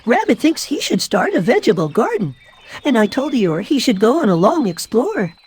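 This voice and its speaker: A man's voice: extremely high pitch, sing-song, normal speed, breathy edge, pitched above the performer's own voice, exaggerated voice. A man voicing a very small, timid, eager piglet with a thin little voice in a children's storybook. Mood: dejected. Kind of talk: children's storybook read-aloud.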